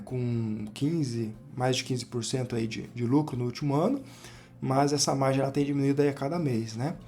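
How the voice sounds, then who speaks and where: A hoarse Brazilian man with a cold talks through his screen share in a relaxed, chatty way and keeps saying "né" and "pessoal". His voice sits mid-range with a mellow, dark tone. Soft music plays faintly behind him. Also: medium pitch. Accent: Brazilian Portuguese. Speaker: finance channel host. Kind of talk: casual screen-share commentary on investments.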